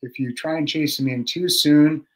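A man's voice: on the high side, steady tone, normal speed. A middle-aged man, a cattle expert, explaining calving in a casual, practical way over a video call from a room at home, with many fillers and restarts. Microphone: video call webcam.

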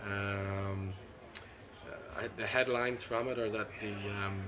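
A middle-aged man, a cardiologist being interviewed at a conference, talks in a measured, analytical way with frequent ums and restarts. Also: on the low side, steady tone, noisy background. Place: seated at a conference booth indoors. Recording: constant conference hall ambience.

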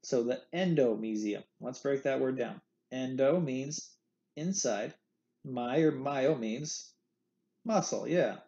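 A man's voice: mid-range voice, expressive, takes it slowly, vocal fry, warm tone, highs cut off. A man teaching anatomy over recorded slides, a playful, jokey explainer who leans on candy analogies, recorded on a computer mic at his desk. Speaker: anatomy instructor. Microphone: screen recording with webcam.

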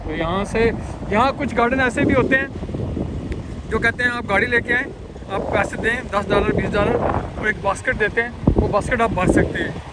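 A man's voice: sits high, normal speed, very noisy. A man in his thirties, a truck driver vlogging in a chatty way to his viewers on a handheld phone, outdoors by trucks and in a cold warehouse. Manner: chatty, addresses viewers directly. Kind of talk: casual vlog narration.

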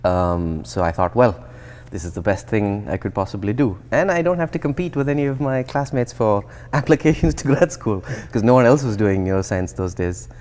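Low-voiced man in his thirties, thoughtful. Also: normal speed, few pauses, darkish tone. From India. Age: 30s. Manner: thoughtful, with frequent restarts and fillers. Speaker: guest researcher. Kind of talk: conversational interview. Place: indoor atrium with plants and glass. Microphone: lapel.

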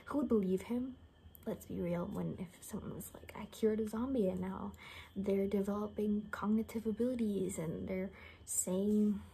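A young woman talks in a hushed, breathy whisper with a mellow, relaxed tone. She rambles casually through an ASMR roleplay, a little sardonic in her delivery. Faint room noise sits behind her voice. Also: medium pitch. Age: young adult. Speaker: ASMR creator playing a caretaker. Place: small home bedroom, indoors.

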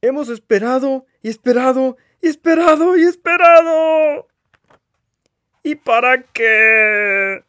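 A man voicing an excitable, impatient elephant in a children's picture book, exclaiming and wailing dramatically. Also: very high-pitched, slow pace, clear, resonant tone, dry recording, clean studio sound, full character voice. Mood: dramatic despair.

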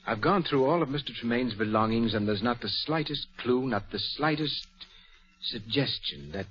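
An actor playing a polite butler who is secretly a cold killer in a 1940s radio drama. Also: male voice, mid-range voice, normal speed, fairly bright tone, natural voice. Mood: deferential. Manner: polite, then cold and threatening. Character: smooth male butler turned menacing killer. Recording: dull old radio broadcast.

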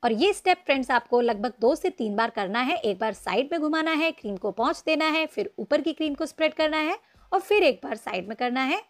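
A woman's voice: high voice, expressive, fairly quick. A woman narrating a step-by-step cake icing tutorial, patiently instructing viewers and calling them friends. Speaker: cooking channel host. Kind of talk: step-by-step baking tutorial voice-over.

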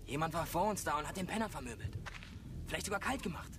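A cocky teenage boy, with a high, quick voice. He is crude and callous in radio drama style, over loud vehicle noise. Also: expressive. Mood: cocky, dismissive. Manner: slangy, brash. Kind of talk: German radio drama acting.

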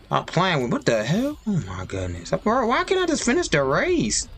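Young male streamer, casual and excitable, wildly varied pitch, desk mic. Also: normal speed. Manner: excitable, yelps high and loud at the game; slangy, talks to himself. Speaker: gaming streamer. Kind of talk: casual gameplay commentary. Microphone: desk mic with webcam.

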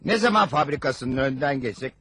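A man's voice: mid-range voice, expressive, a little fast, a bit bright, breathy edge, dry recording. A man playing a wry narrator who tells his childhood memories in first person in a dubbed cartoon. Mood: wry, reflective. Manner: wry, confiding.

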